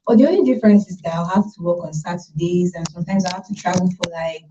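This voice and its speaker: A woman's voice: fairly low pitch, quick pace, dark tone, close to an everyday voice. A woman with a Nigerian accent playing a busy, caring working wife and mother in a radio drama. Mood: excited, persuasive. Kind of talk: amateur radio drama acting. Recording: muffled, distant, noisy recording with clicks.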